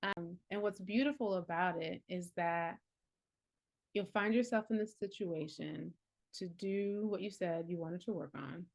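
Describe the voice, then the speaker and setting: Woman in her forties, herbalist coach; somewhat low voice, earnest, encouraging, laughs easily, loose casual delivery. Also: a little slow, gravelly, a little mellow. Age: 40s. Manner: earnest and encouraging, laughs often, many "like" fillers. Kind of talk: casual reflective talk.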